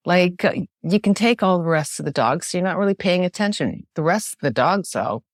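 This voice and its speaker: A woman with a naturally loud voice, explaining communication ideas as a guest in an emphatic, animated way, often checking in with "right?". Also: on the low side, brisk, no room sound, studio quality. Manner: emphatic, animated, frequent tag question "right?". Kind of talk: podcast interview, explaining with examples.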